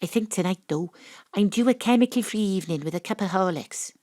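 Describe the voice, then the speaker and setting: A woman playing a cool, wry private detective in an audio drama, narrating and talking in a measured, theatrical way. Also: medium pitch, dark tone, no room sound, studio quality, lightly acted. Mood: fond, composed. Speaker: lead actor and narrator.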